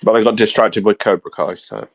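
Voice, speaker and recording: A man co-hosting a casual chat, speaking in short, somewhat halting remarks. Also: on the low side, creaky, no echo. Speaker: co-host.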